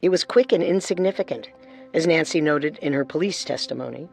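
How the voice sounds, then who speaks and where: A woman's voice: sits low, fairly quick, creaky, darkish tone, faint music behind. Woman narrating scripted true crime. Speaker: co-host and narrator.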